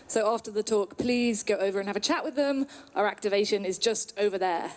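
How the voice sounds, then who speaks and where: A British woman in her thirties, from the UK, giving an upbeat presentation at a trade conference with some filler pauses. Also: medium pitch, darkish tone. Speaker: conference speaker. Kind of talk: presentation talk.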